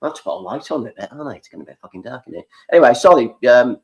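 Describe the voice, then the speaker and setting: A man's voice: mid-range voice, animated, rapid-fire, muffled recording. A man in his forties from North Wales with a border Welsh accent, hosting a casual video-call chat and telling stories with plenty of swearing. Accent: North Welsh, near the English border. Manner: swearing, storytelling, mimics voices in anecdotes. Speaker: host. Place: home room with a computer screen behind. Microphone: webcam video call.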